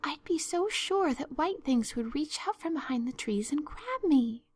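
A woman's voice: sits high, big pitch swings, a little slow, voice pushed up. A woman voicing an imaginative, earnest young girl in a storybook, talking in an effusive, theatrical, pleading way. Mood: fearful, dramatic. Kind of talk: storybook narration.

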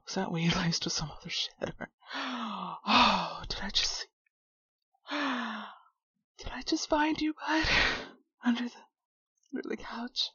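A woman acts out a brash, protective young female buddy in an audio roleplay drama. Her voice is breathy and husky, with an unhurried, slangy, profane way of talking straight to a friend. The sound is roomy and a little dull. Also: a little high, bright, forceful tone, heavily acted. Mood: shocked, panicked. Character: foul-mouthed, hot-tempered but protective young friend, finding shrunken buddy. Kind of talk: immersive one-sided audio roleplay drama.

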